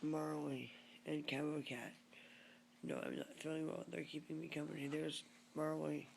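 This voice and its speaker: A woman vlogging at home in a casual video diary, speaking hoarsely and faintly, often near a whisper. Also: deep voice, steady tone, moderate pace, darkish tone, a little hiss or hum. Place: at home, lying on the floor.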